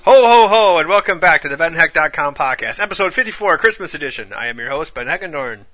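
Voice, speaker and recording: A man's voice: on the high side, lively intonation, fast talker, pushed and bright, soft background music. A man hosting a podcast in casual, jokey chat with playful asides. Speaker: podcast host.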